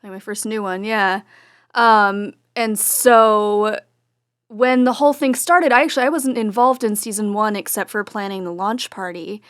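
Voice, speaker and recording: A woman's voice: mid-range voice, moderate pace, a bit bright, dry recording, studio quality. A woman chatting casually as a guest in a group podcast interview. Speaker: podcast guest, audio drama organizer.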